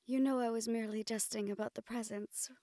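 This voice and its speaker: A woman playing a gentle, playfully reproving fantasy sweetheart in a romantic audio roleplay, speaking tenderly in formal phrases. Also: fairly high pitch, fairly even intonation, fairly quick, no room sound, close to an everyday voice. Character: gentle, playfully scolding fantasy woman courting a dragon general.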